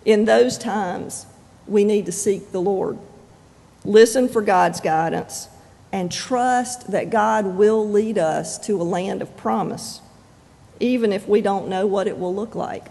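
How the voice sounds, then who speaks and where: A pastor preaching a sermon in measured, deliberate phrases with frequent pauses. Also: medium pitch, vocal fry, dark tone. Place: church sanctuary. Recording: long reverb of a church sanctuary.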